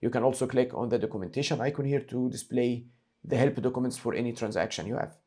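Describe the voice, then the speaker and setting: A man narrating a screen-share software tutorial as an instructor, explaining each step methodically and repeating key points. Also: medium pitch, fast talker.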